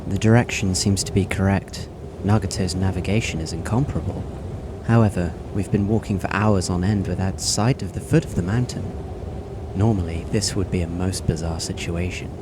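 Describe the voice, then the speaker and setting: A man playing a polite, composed teenage boy in a fan audio drama, speaking in a formal, measured, analytical way. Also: deep voice, steady tone, background noise, natural voice.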